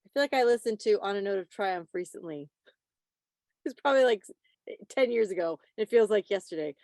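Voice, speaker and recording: A woman's voice: medium pitch, expressive, a little fast, a little mellow, no room sound, clean studio sound. A woman with a playful, teasing, chatty manner, casually presenting a quiz on a video call. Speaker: quiz host at a club meeting. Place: online video meeting.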